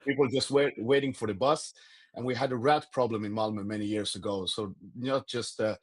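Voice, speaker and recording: A man with a Swedish accent, a native Swedish speaker, chatting as a podcast guest and pausing often to search for words. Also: medium pitch, fairly even intonation, husky. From Sweden. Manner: halting, repeats words while searching for English phrasing. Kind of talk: casual conversation.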